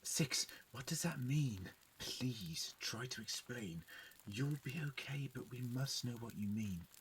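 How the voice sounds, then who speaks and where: A man acting a calm, caring Time Lord doctor in an audio drama. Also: medium pitch, slow. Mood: gentle, concerned. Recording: noisy fan audio drama recording.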